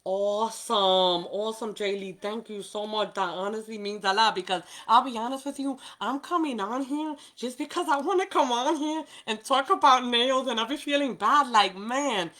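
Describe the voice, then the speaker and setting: A woman's voice: a little high, moderate pace, hardly any pauses, a bit bright. A woman from New York whose first language is Spanish, with a Spanish-influenced New York accent, chatting casually with viewers as she demonstrates nail art on a livestream. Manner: chatty, talks to viewers by name, laughs often. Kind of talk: casual live chat while demonstrating.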